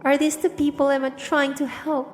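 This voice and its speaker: A woman with a high voice delivers dramatic first-person story narration. Her voice is soaked in long reverb. Music plays underneath. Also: flat delivery, normal speed.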